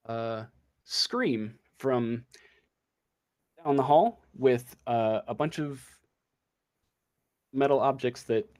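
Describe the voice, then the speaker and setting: Male game master, slow delivery, hesitant and feeling for words as he improvises the story. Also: mid-range voice, a little mellow. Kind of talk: improvised narration of a tabletop role-playing game.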